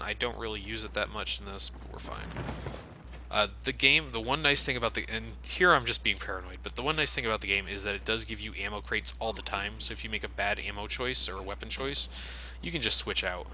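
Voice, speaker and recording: Low-voiced male gamer over noisy game. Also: a little fast, bright, forceful tone. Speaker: let's play commentator. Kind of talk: casual game commentary. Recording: noisy game sound under commentary.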